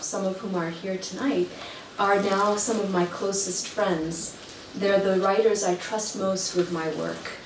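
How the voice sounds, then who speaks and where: A woman from Kuwait, an author reading a prepared talk at a library event in a measured, articulate way. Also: fairly low pitch, darkish tone, a little hiss or hum. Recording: echoey room, heard from far off.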